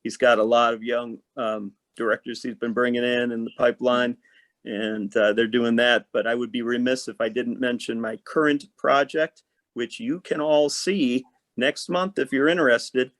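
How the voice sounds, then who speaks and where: A man's voice: medium pitch, fairly even intonation, normal speed, a bit bright, no echo. A man who works as an actor, talking casually and at length as a podcast guest, explaining things in an orderly way. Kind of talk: casual conversation about work.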